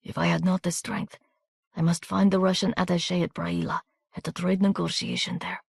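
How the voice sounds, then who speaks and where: Narrator doing a wounded soldier, soft foreign accent, faint whispery murmur, desperate, dry close sound. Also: female voice, on the low side, big pitch swings, moderate pace, no room sound, voice pushed up, full character voice. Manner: weak breathy murmur, pleading.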